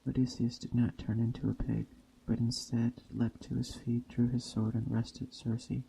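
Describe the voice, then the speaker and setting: A man softly reading a story in a hushed, gentle ASMR storytelling style. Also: mid-range voice, completely flat delivery, unhurried, creaky, slight background noise, poor audio. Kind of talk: soft-spoken ASMR reading of a Greek myth tale. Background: soft nature ambience.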